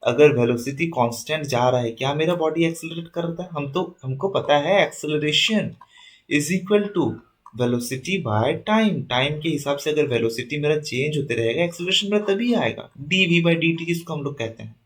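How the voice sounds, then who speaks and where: A young man teaching an exam-prep engineering lesson with a sore throat. He reads questions out slowly and then explains them in quick asides, often checking in with his listeners. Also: on the high side, animated. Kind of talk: online exam-prep lesson, Hindi mixed with English.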